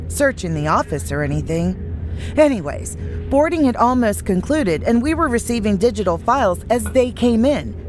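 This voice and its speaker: A woman's voice: medium pitch, natural voice. A woman acting an exasperated, orderly ship's officer in a science fiction audio drama.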